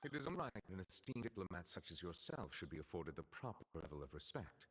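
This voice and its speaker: A man voicing a formal, reserved male judge in a video game, speaking politely and deliberately. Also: low-pitched, big pitch swings, a bit bright, husky, big-room sound, heavily acted. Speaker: game character.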